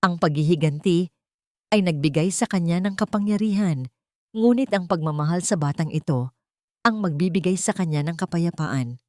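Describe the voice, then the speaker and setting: A woman's voice: on the low side, normal speed, no echo, clean studio sound. A woman with a Filipino accent reading a scripted dramatic story as an audiobook narrator.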